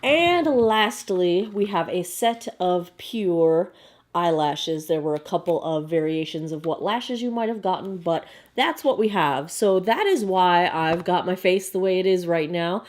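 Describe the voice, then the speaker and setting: A young woman with a hoarse, croaky voice, chatting casually as she unboxes beauty products to camera. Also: lowish voice. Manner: chatty, bubbly, quick asides. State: hoarse, frog in throat. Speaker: beauty vlogger.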